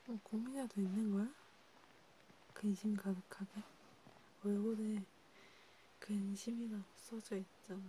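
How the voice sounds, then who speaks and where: A woman speaking in a soft, breathy, often whispered murmur, gently and hesitantly, as if chatting one-to-one in an ASMR roleplay. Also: medium pitch, fairly even intonation, a little slow, pauses often, a little hiss or hum, rough recording. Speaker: ASMR host.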